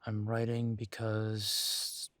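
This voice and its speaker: A man playing a troubled, tender werewolf boyfriend in an intimate roleplay audio drama, talking haltingly with sighs. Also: on the low side, monotone, fairly bright tone, no room sound. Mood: uneasy, somber.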